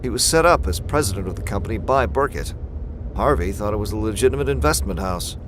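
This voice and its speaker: An actor playing a composed, confident gentleman detective in an old-time radio drama. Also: lowish voice, animated, fast talker, noisy background, lightly acted. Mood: explaining. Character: composed, confident gentleman sleuth, man of reason.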